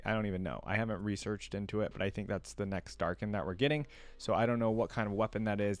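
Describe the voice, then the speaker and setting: A man's voice: fairly low pitch, a little mellow, no echo. A man hosting a reaction video, giving casual, rambling commentary in a conversational way.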